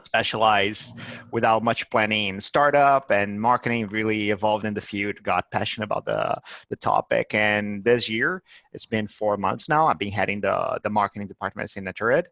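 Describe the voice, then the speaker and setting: A man's voice: on the low side, moderate pace, fairly bright tone, no room sound. Brazilian man, eager, searching for words. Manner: eager, repeats words while finding phrasing. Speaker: guest, head of marketing. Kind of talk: business interview.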